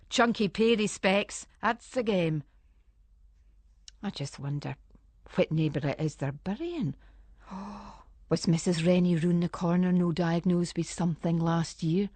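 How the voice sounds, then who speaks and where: A woman's voice: medium pitch, dry recording, close to an everyday voice. An anxious Scottish housewife, played by a woman, chatters away in broad, homely dialect. She rambles nervously in the manner of a radio play monologue. The sound is dry and close, with no room around her. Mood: exasperated, then concerned.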